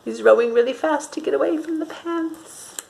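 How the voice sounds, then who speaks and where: A woman reading a storybook aloud to young children in an expressive, dramatic way, pausing to ask her listeners questions. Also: sits high, gravelly, slight background noise.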